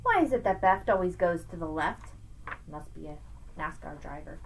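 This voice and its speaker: A middle-aged woman teacher giving an expressive, emphatic read-aloud lesson for children, recorded on a webcam in a room at home. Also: low-pitched, moderate pace, a little hiss or hum. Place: small room at home with bookshelves, indoors. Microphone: webcam at arm's length.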